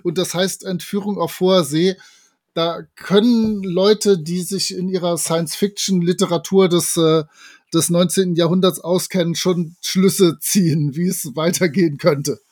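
Male podcast panelist, distinctly high voice, informal conversational reviewing. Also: fairly even intonation, measured pace, a little mellow. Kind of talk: casual review chat.

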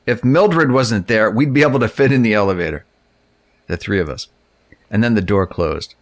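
Middle-aged male co-host, lowish pitch, close dry sound, conversational storytelling. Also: normal speed, no echo. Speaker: podcast co-host. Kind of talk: casual chat, personal anecdotes.

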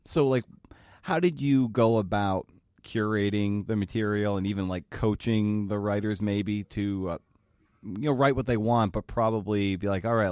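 A man's voice: lowish voice, a little mellow, no echo, clean studio sound. A man hosting a podcast, asking long, rambling questions that he corrects as he goes. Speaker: podcast host. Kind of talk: interview questions.

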